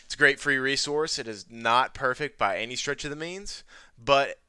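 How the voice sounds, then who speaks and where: Guy doing a chatty casual tutorial, clear crisp voice, really dry sound, says you know a lot. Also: mid-range voice, moderate pace, pushed and bright, dry recording. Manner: informal, conversational, peppered with "you know". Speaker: presenter of an educational video on teaching ESL. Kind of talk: casual tutorial talk over a screen demonstration.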